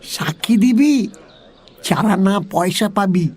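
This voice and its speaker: An actor voicing a scheming crocodile in a children's audio story, with a declaiming, drawn-out delivery. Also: medium pitch, lively intonation, a little mellow, slightly rough. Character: slow, scheming crocodile advocate reciting and bargaining. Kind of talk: audio story character acting.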